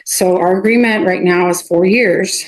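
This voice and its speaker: A woman speaking as a defense attorney in a court hearing, halting and often repeating or restarting her phrases. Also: fairly low pitch, poor audio. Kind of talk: addressing a judge in a court hearing.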